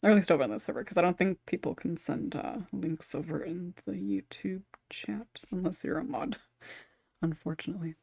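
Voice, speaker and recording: A woman's voice: on the low side, normal speed, gravelly, dark tone, slightly rough, no echo. A woman hosting a casual panel chat, giggly and conversational, on a close microphone. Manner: giggly, laughs often while talking. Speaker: podcast host.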